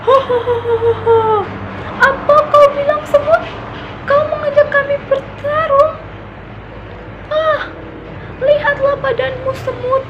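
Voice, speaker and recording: A woman's voice: extremely high pitch, steady tone, slow, long pauses, highs cut off, voice pushed up. A woman doing a boastful jeering elephant. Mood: scornful. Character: arrogant mocking big elephant. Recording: noisy background with passing traffic.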